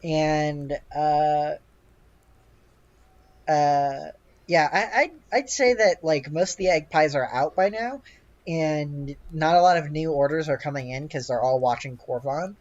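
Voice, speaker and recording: A game master improvising narration for a tabletop game, halting and hesitant, with "like" sprinkled throughout. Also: mid-range voice, darkish tone, highs cut off. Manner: halting, hesitant, heavy use of "like".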